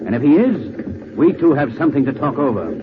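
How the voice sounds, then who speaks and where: Man as a shrewd gentleman sleuth, tinny old radio. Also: mid-range voice, big pitch swings, natural voice. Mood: alert, decisive. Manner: brisk, self-assured. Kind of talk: 1940s radio acting. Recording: thin, noisy old 1940s radio recording.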